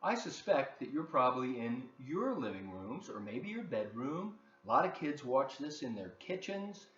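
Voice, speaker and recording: A man reading a children's story aloud from his living room, expressive and talking directly to the kids with asides. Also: mid-range voice. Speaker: volunteer children's story reader. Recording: dull, roomy home recording with faint noise.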